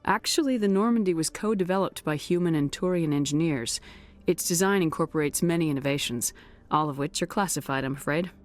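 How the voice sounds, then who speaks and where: A woman's voice: sits low, a little fast, faint music behind, natural voice. A woman playing a firm, blunt military commander in a science fiction video game. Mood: composed, guarded. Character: resolute human female military commander in space opera. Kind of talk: cinematic game voice acting.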